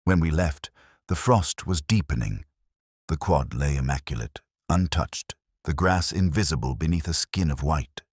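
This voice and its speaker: A man narrating a literary detective drama in the first person, measured, brooding and reflective, as a detective recounting a case. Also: rumbling low, husky, no echo, clean studio sound.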